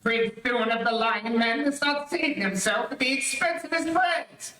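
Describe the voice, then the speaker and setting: A proud and loyal lion-man prince played by a woman in an old radio serial. The speech is archaic and declamatory, pitched a little high, heard from a distance in an echoey space. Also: measured pace, pushed and bright. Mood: urgent, noble. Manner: declamatory, archaic formal diction. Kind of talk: old-time radio adventure acting. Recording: echoey, distant streamed stage audio.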